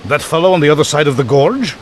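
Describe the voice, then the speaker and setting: An actor playing a suave, murderous doctor in a radio mystery, mock-courteous and gloating in a theatrical way. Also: male voice, a little high, lively intonation, fast talker, a little hiss or hum, lightly acted. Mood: gloating, menacing. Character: suave, taunting male doctor villain, swindling murderer. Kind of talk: old-time radio mystery acting.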